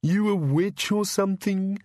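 Man acting a cowardly storybook monster, pleading, moaning, mumbling, raised pitch, very dry sound. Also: unhurried, a little mellow, no room sound. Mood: puzzled, indignant. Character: whining, cowardly bogeyman monster, easily puzzled. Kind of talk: audiobook character voice.

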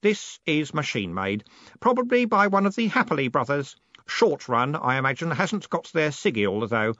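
Man playing an earnest, obsessive boy, lightly raised pitch. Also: very animated, normal speed, bright, forceful tone, dry recording, highs cut off. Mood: absorbed. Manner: level, thoughtful, polite. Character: earnest, obsessive, pin-loving boy postal apprentice.

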